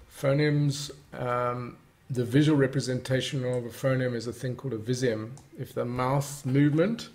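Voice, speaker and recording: A man presenting a casual software tutorial, explaining things and defining terms as he goes. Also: medium pitch.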